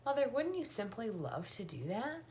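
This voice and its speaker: Eager little farm girl voiced by a woman, higher-pitched. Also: sing-song, moderate pace, darkish tone, a little hiss or hum. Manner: matter-of-fact, insistent. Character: eager, earnest eight-year-old farm girl.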